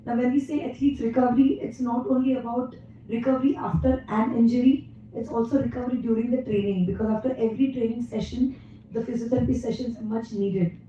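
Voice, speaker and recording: An Indian woman from Mumbai giving an energetic conference talk to physiotherapists, her voice partly lost, often pausing with "uh.". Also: fairly high pitch, slight background noise. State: losing her voice. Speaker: guest speaker at a physiotherapy event. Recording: echoey hall, distant dull mic.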